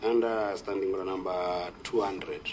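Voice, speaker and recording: A man with a Kenyan accent speaking as a senator on procedure, formal and deferential, in a halting delivery. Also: low-pitched, steady tone, a little mellow, slight background noise. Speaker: senator raising a procedural point. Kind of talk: formal parliamentary debate.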